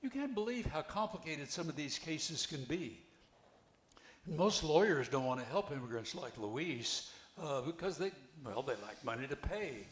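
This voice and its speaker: An older man reading the part of an earnest, helpful widower in a play, with a halting delivery. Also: a little high, sing-song. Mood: earnest, concerned. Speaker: amateur actor reading a play. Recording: echoey, roomy amateur recording.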